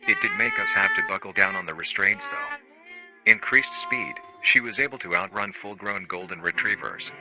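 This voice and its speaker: A man playing a formal, matter-of-fact research-facility narrator on an analog horror staff training tape, calmly chilling and euphemistic. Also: sits low, moderate pace, over music, natural voice, low-quality recording. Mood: clinical, detached.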